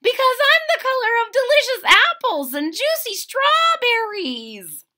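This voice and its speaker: A proud, curious little oak leaf, a storybook boy acted out by a woman. He speaks in a high, bright voice with emphatic, stretched words and wide swings of pitch. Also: very animated, unhurried, full character voice. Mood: insistent. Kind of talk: children's storybook read-aloud.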